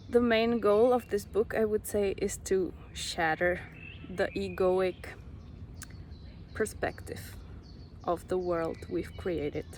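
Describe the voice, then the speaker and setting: A young Czech woman with a Czech accent, slowly sharing a personal spiritual story with many pauses. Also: medium pitch, darkish tone, a little hiss or hum. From Czech Republic. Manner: slow, reflective, pausing often. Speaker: vlogger.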